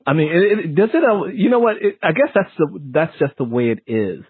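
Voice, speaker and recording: A man in his fifties hosting a casual interview, animated and jokey, acting out reactions as he talks. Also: fairly high pitch, fairly quick, slightly rough, dry recording. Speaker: interview host. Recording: muffled narrow phone-like line.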